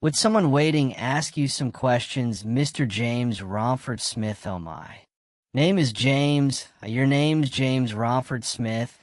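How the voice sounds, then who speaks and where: A tense man in a murder mystery drama, played by a male actor. His voice sits at a middling pitch, with dramatic radio-play delivery. The sound is dry, with hardly any room on it. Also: slow pace, no room sound, close to an everyday voice. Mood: tense, pressing. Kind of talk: audio drama acting.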